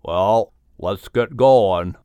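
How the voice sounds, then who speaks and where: A man's voice: fairly low pitch, drawn-out words, dark tone, clean tone, no echo, voice pushed down. A man voicing a big, easygoing, hungry bear with a folksy drawl and a hearty, encouraging manner in an audiobook. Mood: hungry, keen. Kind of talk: audiobook character voice.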